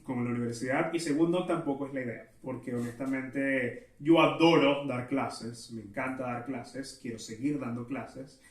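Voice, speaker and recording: A man with a Venezuelan Spanish accent hosting a solo podcast, chatting casually in a self-aware, digressive way. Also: mid-range voice. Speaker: podcast host. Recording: roomy echo, distant from mic.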